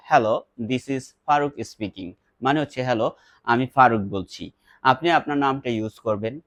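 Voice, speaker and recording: A man with a Bangladeshi accent teaching spoken English, instructive, saying example phrases slowly and emphatically and then explaining them. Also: medium pitch, no echo.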